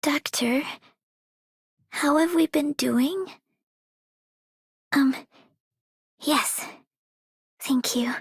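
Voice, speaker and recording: A shy gentle girl for a game, high voice, talks really slow, hesitant. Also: vocal fry, no echo, studio quality, exaggerated voice. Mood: shy, grateful. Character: gentle, modest young female squad member. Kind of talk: scripted game voice lines.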